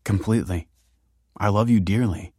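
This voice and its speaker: A man's voice: on the low side, normal speed, dark tone, dry recording, clean studio sound, close to an everyday voice. A man voicing an earnest, easygoing young man in a narrated story, eager and conciliatory. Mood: smitten, sincere.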